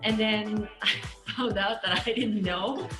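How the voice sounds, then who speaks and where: A woman's voice: medium pitch, slow. A woman from Japan, a company CEO giving a candid, self-deprecating testimonial in a promotional video. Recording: roomy testimonial video with music.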